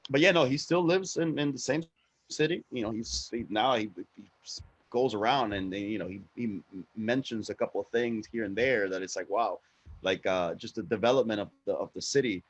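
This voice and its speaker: A man from Honduras with a fairly low voice talks casually as an interview guest. He tells things in a repetitive, conversational way, often tagging on "right?", and the sound is close and dry. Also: a little fast, no room sound. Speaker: guest, cigar brand ambassador.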